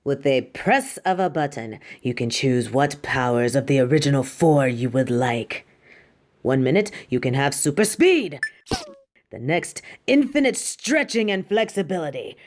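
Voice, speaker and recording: A woman's voice: extremely low pitch, expressive, a little slow. Woman playing a theatrical, proud superhero costume designer. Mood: proud, boastful. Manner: grandiose, dramatic, addresses listener as darling. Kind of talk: scripted roleplay audio monologue.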